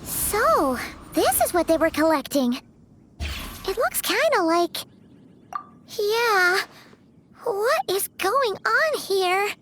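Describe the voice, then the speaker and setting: A woman voicing a tiny, excitable, childlike fairy companion with a squeaky voice in a video game. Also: extremely slow, pauses often, background noise, exaggerated voice. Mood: curious. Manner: refers to self by name, exclamatory. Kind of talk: video game character acting.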